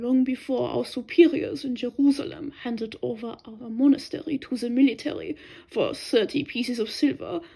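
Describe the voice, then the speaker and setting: A woman voicing an elderly German monk, once a submarine officer, speaking slowly and courteously with a German accent. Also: high-pitched, vocal fry. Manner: quiet, slow, courteous.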